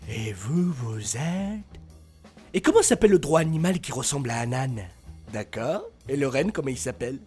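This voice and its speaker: A man's voice: mid-range voice, expressive, moderate pace, darkish tone, breathy edge, soft background music. A man voicing a friendly, innocent cartoon snowman in a naive, chirpy manner.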